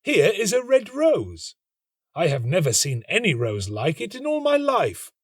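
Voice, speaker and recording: Man as excitable young student, raised pitch, animated. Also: unhurried, slightly rough, dry recording, studio quality, heavily acted. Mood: delighted. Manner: exclamatory, earnest. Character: lovestruck, excitable young male student, easily soured.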